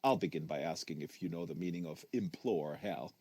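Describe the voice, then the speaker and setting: A man's voice: deep voice, darkish tone, breathy edge, dry recording, voice pushed down. A man as a mannered, unctuous professional conversationalist. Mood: probing. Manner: formal, deliberate, mannered. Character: oddly formal, insistent male professional conversationalist, probing and unctuous.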